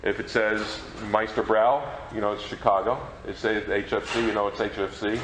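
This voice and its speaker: Man, informal panel presenter, fairly low pitch, roomy echo, faint noise, answering questions. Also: fairly even intonation, normal speed. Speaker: panel presenter, radio program collector. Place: echoey convention meeting room.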